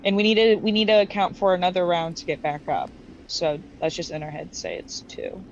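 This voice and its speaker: A woman with a slightly low voice talks casually while playing a tabletop game. The sound is a bit dull, with clear noise behind her. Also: a little fast. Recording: dull, noisy home recording.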